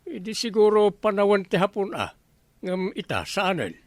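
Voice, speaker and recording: High-pitched, animated Ilocano village captain. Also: male voice, normal speed, dry recording. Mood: exasperated banter. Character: male village captain testing recruits for watchman, bantering, exasperated. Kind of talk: Ilocano radio comedy drama acting.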